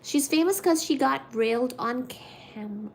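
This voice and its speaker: A woman in her thirties from India, chatting casually with her viewers on a livestream, giggly and quick to get excited reading the chat. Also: sits high, gravelly, slight background noise, poor audio. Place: home room with cat shelves.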